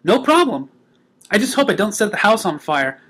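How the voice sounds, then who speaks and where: A man voicing an excitable, brainy young female unicorn inventor in a cartoon fan story, earnest and exclaiming. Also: sits high, moderate pace, husky, voice pushed up, heavily acted. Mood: confident. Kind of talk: fan fiction story reading.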